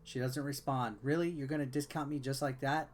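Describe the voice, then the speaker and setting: A man's voice: mid-range voice, steady tone, fairly quick, faint music behind. A man giving casual, slangy gameplay commentary as a game reviewer, with informal asides. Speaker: game reviewer, let's player. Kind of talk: casual gameplay commentary, reading dialogue aloud.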